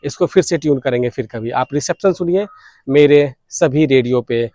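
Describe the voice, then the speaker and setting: A man's voice: mid-range voice, moderate pace, dark tone, dry recording. A man hosting a hobby vlog, explaining details informally and mixing English technical terms into his talk. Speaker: radio hobbyist vlogger, host.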